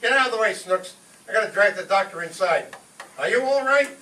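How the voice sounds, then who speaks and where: A man's voice: sits high, expressive, fairly quick, pushed and bright. A man playing a blustering, scheming father and husband in an old-time radio comedy on stage. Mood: alarmed, bossy. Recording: echoey live stage from far off, faint noise.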